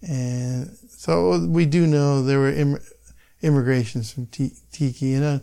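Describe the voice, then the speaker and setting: An older man from Hawaii with a Hawaiian accent, a podcast guest talking in a slow, musing way that trails off into soft asides. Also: mid-range voice, fairly even intonation, vocal fry. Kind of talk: casual interview chat.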